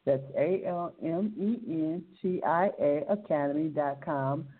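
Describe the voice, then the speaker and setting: A woman's voice: deep voice, unhurried. A woman hosting a radio interview, upbeat and encouraging, often repeating her words. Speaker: radio show host. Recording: tinny phone-like sound.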